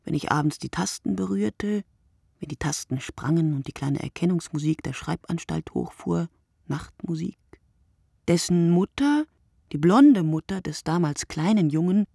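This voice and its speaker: A brooding, solitary woman writer speaks in a radio play monologue. Her voice is low, husky and gravelly, close and dry. She is deliberate and poetic, often sinking into a breathy hush. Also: lively intonation, no echo, exaggerated voice. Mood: measured, brooding. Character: wakeful solitary night writer, a woman, brooding and word-obsessed.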